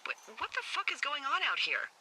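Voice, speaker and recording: A woman's voice: high-pitched, big pitch swings, brisk. A woman playing a wry, profane fire lookout supervisor over a two-way radio, in natural, conversational video game acting with stammered repetitions. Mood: disbelieving. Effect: two-way radio filter. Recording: walkie-talkie sound with faint noise.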